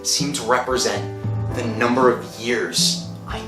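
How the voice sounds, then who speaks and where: A woman's voice: a bass voice, measured pace. A woman playing a guilt-ridden, haunted narrator in old-time radio horror, brooding and dramatic. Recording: echoey, faint music underneath.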